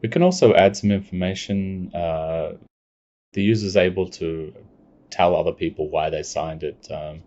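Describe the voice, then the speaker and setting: Brief: man, low mellow voice, explains a software demo step by step, dull lo-fi mic. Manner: plain step-by-step explaining, unhurried. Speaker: software demonstrator. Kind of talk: screencast product walkthrough. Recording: dull lo-fi recording.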